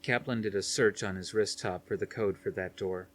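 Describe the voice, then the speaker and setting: A man's voice: fairly low pitch, steady tone, normal speed, a bit bright, slight background noise. A man reading a thriller novel aloud as scripted audiobook narration. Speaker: audiobook reader.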